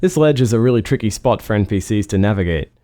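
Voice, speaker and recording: A man's voice: on the low side, animated, fast, warm tone. A man who is a game developer, reading scripted technical commentary.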